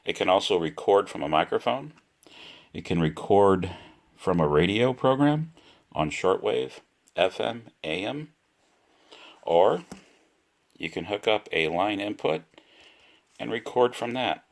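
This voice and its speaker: A man giving a casual, unscripted product demonstration, speaking deliberately and repeating himself for emphasis. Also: low-pitched, expressive, creaky. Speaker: product reviewer.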